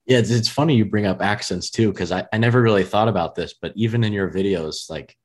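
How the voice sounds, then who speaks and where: A man's voice: lowish voice, fast, a little mellow. A man from the States with a country-leaning American accent, hosting a podcast in an easygoing way and often repeating words as he talks. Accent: rural American. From United States. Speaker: podcast host. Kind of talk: casual chat.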